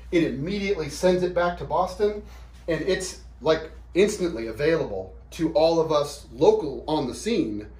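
A man's voice: fairly high pitch, a little mellow. A man in his thirties giving an informal whiteboard explainer in a casual, plain-spoken, conversational way. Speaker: product demonstrator. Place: small indoor office room. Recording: roomy, echoey quick camera shoot.

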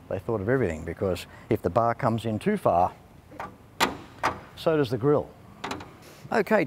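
A man's voice: medium pitch, a little mellow, a little hiss or hum. An older Australian man hosting a car show in a large showroom, chatty and enthusiastic, on a lapel mic. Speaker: host.

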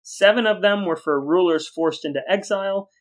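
A man's voice: high-pitched, normal speed. A man co-hosting a casual comedic podcast, telling stories in a wry, playfully grand way. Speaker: podcast co-host. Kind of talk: casual comedic storytelling chat.

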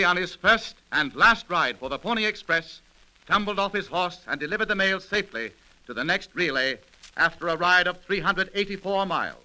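A man's voice: high-pitched, pushed and bright, low-quality recording. A man narrating a 1930s radio drama in a dramatic, formal manner. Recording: old radio broadcast with faint music.

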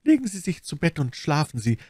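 A man voicing a gentle, curious abbess in an audiobook, speaking politely and formally with solicitous care. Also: a little high, sing-song, fairly quick, breathy edge, no echo, clean studio sound, voice pushed up. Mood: kindly. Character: abbess, gentle, curious, sympathetic pious woman. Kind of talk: audiobook character dialogue.

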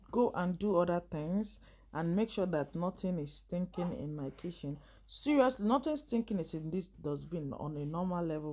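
A woman's voice: mid-range voice, a little mellow. A woman casually narrating her kitchen cleaning, rambling and laughing often as she works. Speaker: vlogger.

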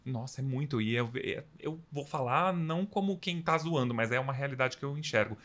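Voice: medium pitch, expressive, fairly quick, a bit bright. Brazilian host, voice jumps all over, playful and reactive, asks the questions. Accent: Brazilian Portuguese. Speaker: interviewer host. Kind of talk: casual conversational interview.

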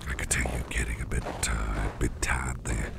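A man playing a caring, slyly warm boss in an ASMR roleplay, talking in a gravelly half-whisper with a rambling, folksy, reassuring manner. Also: extremely low pitch, little pitch movement, brisk, very noisy, heavily acted. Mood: tender, reassuring. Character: caring, gruff-voiced boss, a man with sly, soothing warmth. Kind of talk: soft ASMR roleplay, addressing the listener.

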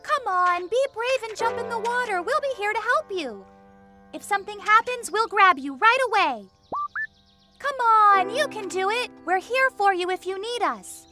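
A small, kind animal cub from a children's cartoon. The voice is extremely high and childlike, with a reassuring, encouraging manner. Prominent music plays behind it. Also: expressive, moderate pace.

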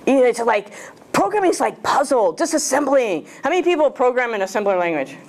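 A woman's voice: fairly high pitch, sing-song, fairly quick. A woman in her fifties giving a casual, jokey talk to a club audience in a small lecture room, using a handheld mic and often asking the room questions. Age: 50s. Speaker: guest speaker.

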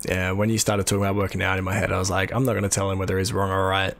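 A young adult man with an Australian accent, sick with a bad throat, giving a husky, casual running chat while streaming a game from a small room at home. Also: sits low, monotone, rapid-fire, fairly bright tone. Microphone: studio mic on an arm.

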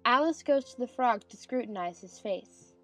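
A woman's voice: on the high side, expressive, moderate pace, faint music behind. A woman narrator reading stage directions in a scripted dramatized audiobook.

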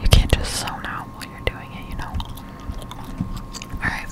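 Whispering young woman, close up. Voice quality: breathy unvoiced whisper. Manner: casual, slangy, unhurried chat. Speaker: gaming ASMR streamer. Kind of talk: whispered ASMR game commentary. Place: indoor room at home. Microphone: close-up Blue Yeti microphone.

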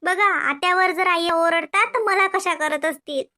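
A woman's voice: extremely high pitch, moderate pace, sharp tone. A woman voicing a young daughter-in-law who complains and pleads her case to the listener. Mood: complaining.

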